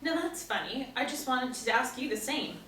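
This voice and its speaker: A woman acting a sharp-tongued woman, echoey room. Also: high voice, animated, normal speed. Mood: sarcastic. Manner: sarcastic, blunt. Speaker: actress at a screenplay table reading. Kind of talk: script table reading. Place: indoor room, seated. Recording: echoey room, distant mic, faint noise.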